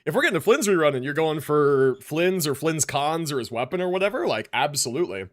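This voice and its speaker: A man's voice: fairly high pitch, animated, quick pace. A man hosting a livestream, chatting casually with viewers in an informal way and often repeating phrases for emphasis. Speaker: live streamer host.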